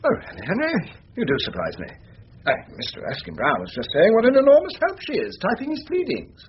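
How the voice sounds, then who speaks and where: An actor playing an evasive head of chambers in a radio comedy, bumbling, affected and hesitant. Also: male voice, high-pitched, wildly swooping pitch, a little fast, husky, exaggerated voice. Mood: airily polite. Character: languid, evasive male English head of chambers. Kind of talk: radio comedy-drama acting. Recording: muffled radio recording, faint noise.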